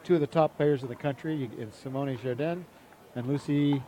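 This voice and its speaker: A man giving chatty, excitable live commentary on a sports match. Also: mid-range voice, fairly quick, a little hiss or hum.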